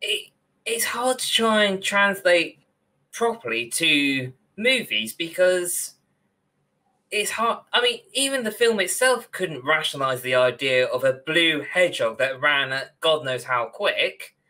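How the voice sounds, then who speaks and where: A man's voice: on the high side, lively intonation, unhurried, bright, forceful tone. A young man, co-hosting a podcast in casual discussion over a video call. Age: young adult. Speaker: podcast co-host. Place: indoor room on a video call.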